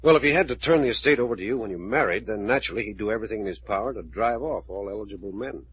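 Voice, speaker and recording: Man acting a fast-talking, low-voiced hard-boiled detective. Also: fairly bright tone, close to an everyday voice. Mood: insistent, forceful. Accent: American. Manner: brisk, blunt, questioning. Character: hard-boiled, decisive male private detective in charge. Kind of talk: old-time radio mystery acting. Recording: dull, noisy old radio recording.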